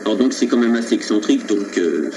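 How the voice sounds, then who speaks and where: A man making a prank phone call in a hesitant, halting way, keeping a deadpan, earnest tone. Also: creaky. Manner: hesitant, halting, feigned seriousness. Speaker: prank caller. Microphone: telephone line. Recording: noisy low-quality telephone recording from 2001.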